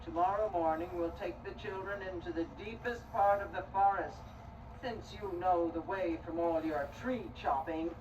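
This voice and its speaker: Wicked woodcutter's wife , male actor: high, scolding, selfish, melodramatic stage delivery over noticeable noise. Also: moderate pace, lightly acted. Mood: bossy, conniving. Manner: theatrical, emphatic, repetitive scolding. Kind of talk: theatrical stage storytelling. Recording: distant mic, clear background noise.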